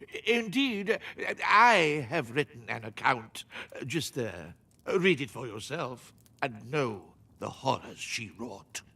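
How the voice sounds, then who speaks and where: An actor playing an anxious, guilt-ridden mayor in a video game, fretful and theatrical, with a melodramatic delivery. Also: male voice, medium pitch, expressive, slow, pushed and bright, husky, heavily acted. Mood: fearful. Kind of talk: dramatic video game character acting. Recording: game music behind the voice. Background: music.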